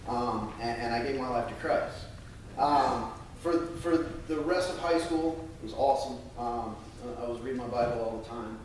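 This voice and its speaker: An American man speaking earnestly and a little haltingly to a congregation, as a church member sharing testimony and scripture. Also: mid-range voice, darkish tone. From United States. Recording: very echoey room, distant mic, faint noise.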